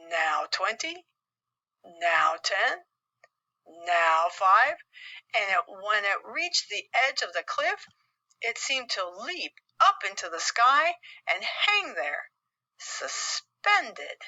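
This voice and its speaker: An American woman reading a children's storybook expressively, with dramatic, deliberate phrasing and emphatic pauses. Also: medium pitch, bright, forceful tone, muffled recording. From United States. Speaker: audiobook reader.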